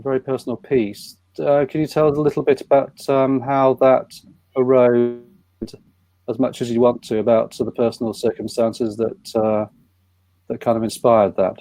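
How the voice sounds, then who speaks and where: A man hosting an online panel event, introducing guests and asking questions in a somewhat halting way. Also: mid-range voice, mellow, no room sound. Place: indoors on a live online video call.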